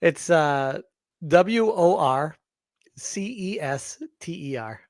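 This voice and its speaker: Guest, man with a light Boston accent, relaxed and amused, somewhat high, recorded dry. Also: slow, no room sound. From Massachusetts South Shore. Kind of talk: casual livestream chat.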